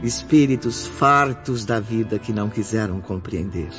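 Um homem narrando uma radionovela em tom solene e dramático, com texto roteirizado. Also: male voice, on the low side, a bit bright. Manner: solemn, measured. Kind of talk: scripted dramatic radio drama narration. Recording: dull lo-fi audio, music behind.

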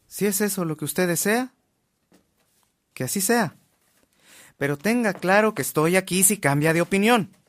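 An actor playing a sincere, indignant reporter in a radio drama, earnest and respectful. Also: male voice, high voice, normal speed, pauses often, lightly acted. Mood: resigned. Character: sincere, indignant male newspaper reporter offering help.

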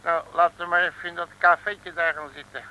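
An actor playing a brash, bumbling, self-assured Dutch detective in an old comic radio serial, blustering in colloquial slang with a Dutch accent. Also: male voice, fairly high pitch, little pitch movement, fairly quick, pushed and bright. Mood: self-assured, breezy. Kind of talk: 1950s-60s comic radio serial acting. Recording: noisy old radio recording.

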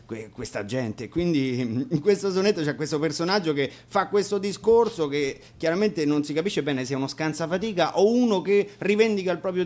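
A man introducing songs informally at a live radio concert, halting and restarting as he searches for words. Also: a little high, a little mellow. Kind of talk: informal spoken song introductions.

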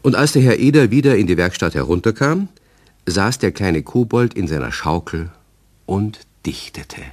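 A storyteller for a children's radio play. The voice is deep and moves animatedly through the scripted narration. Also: male voice, expressive, moderate pace, darkish tone, husky. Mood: calm, storytelling.

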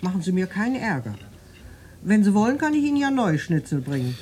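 An actress playing a brisk, defensive country inn waitress in a naturalistic radio drama, with folksy, slightly curt service patter. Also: female voice, mid-range voice, sing-song, darkish tone, close to an everyday voice.

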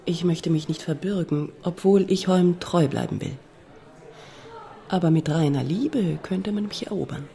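An actress playing a proud, principled young countess, formal, frank and self-assured, in a German radio drama. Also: female voice, fairly low pitch, unhurried, vocal fry, dark tone. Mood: proud, resolute.

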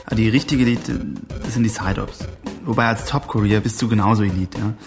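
An actor playing a self-important hacker courier, speaking in a casual, insistent monologue full of jargon and tag questions. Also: male voice, on the low side, steady tone, fast, vocal fry. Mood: proud, casual. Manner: colloquial, insistent, tags phrases with "ja?" and "ne?". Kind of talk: casual interview-like monologue in radio play. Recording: music behind the voice.

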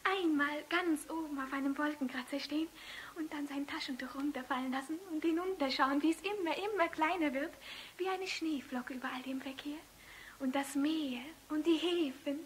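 An actress playing a dreamy, adoring young woman in love in a German radio play, high and breathy, often close to a whisper. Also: moderate pace, lightly acted. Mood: dreamy, rapturous. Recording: hissy old radio recording.

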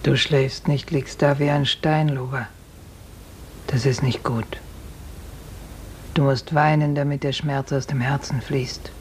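A woman playing a worldly, consoling older peasant in a 1950s radio drama, low and plain-spoken. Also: fairly even intonation, takes it slowly, pauses often. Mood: gently insistent. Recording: faintly noisy 1950s radio recording.

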